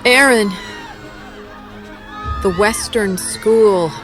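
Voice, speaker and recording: A woman's voice: mid-range voice, drawn-out words, raised voice. A woman playing a bold, playful, loyal young woman in an audio drama. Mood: anguished. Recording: music and crowd behind.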